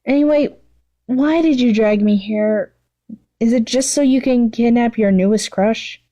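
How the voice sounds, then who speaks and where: A woman's voice: on the high side, unhurried, mellow, voice pushed up, lightly acted. A woman voicing an irritable, cocky, sarcastic young female supervillain in an exasperated, dismissive manner for a fan story. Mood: grudging.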